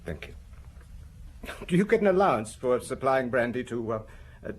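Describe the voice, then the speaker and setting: An actor playing a hard-drinking, maudlin husband under suspicion in an audio drama, speaking in a hesitant, confessional way. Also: male voice, mid-range voice, sing-song, moderate pace, a little hiss or hum, lightly acted. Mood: uneasy, tipsy. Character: maudlin, hard-drinking French husband, a shaken murder suspect.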